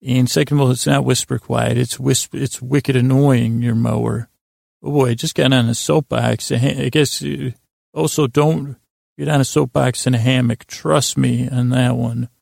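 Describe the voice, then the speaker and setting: A man hosting a bedtime podcast, rambling in a halting, meandering way with a gravelly, creaky voice. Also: mid-range voice, steady tone, darkish tone, no room sound, clean studio sound. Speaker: podcast host. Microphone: close podcast microphone.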